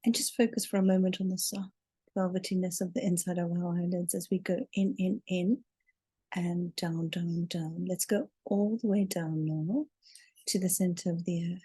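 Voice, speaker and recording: A woman leading a guided meditation in a soothing, deliberate way, drawing out her words as she narrates. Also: on the low side, steady tone, mellow, breathy edge, no room sound. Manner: soothing, deliberate, with long drawn-out counting.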